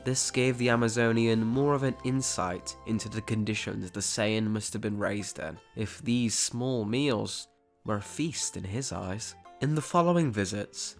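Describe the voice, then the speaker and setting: A man narrating a scripted dramatic story as its storyteller. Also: mid-range voice. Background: background music.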